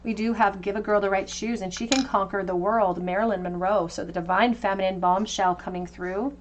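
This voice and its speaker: A woman reading tarot cards for her viewers, talking in a gentle, conversational, intuitive way. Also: medium pitch, fairly even intonation, fairly quick, a little hiss or hum. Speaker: tarot reader. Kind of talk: tarot card reading to camera.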